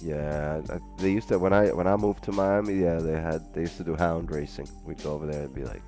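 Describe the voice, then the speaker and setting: A man's voice: extremely low pitch, steady tone, a little fast, mellow, faint music behind. A man from Brooklyn, New York, hosting a live trading stream and rambling casually with viewers and callers, saying "like" a lot. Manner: rambling, chatty, says "like" often. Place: home, living in Miami.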